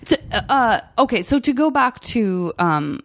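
An American woman hosting a podcast, chatting in a loose, tangential way and thinking out loud. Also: a little high, big pitch swings, a little slow. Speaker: podcast host. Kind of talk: casual chat interview.

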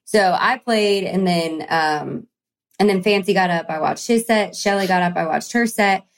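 Chatty woman telling stories, lowish voice. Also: normal speed, gravelly, poor audio. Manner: chatty, storytelling, upbeat. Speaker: country singer guest. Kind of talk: casual interview chat.

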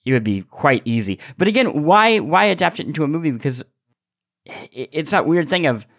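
A man's voice: fairly high pitch, sing-song, fairly quick, a bit bright, no room sound, clean studio sound. A man co-hosting a podcast, talking casually and analytically, often repeating words as he forms his argument. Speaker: podcast host. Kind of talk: casual opinionated discussion.

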